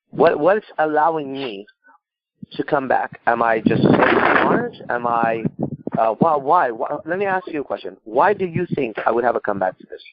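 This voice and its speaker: A man's voice: mid-range voice, no room sound, muffled recording. A man from Iran giving improvised advice as a call-in show host, emphatic and blunt, repeating himself and asking rhetorical questions. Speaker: podcast host and coach.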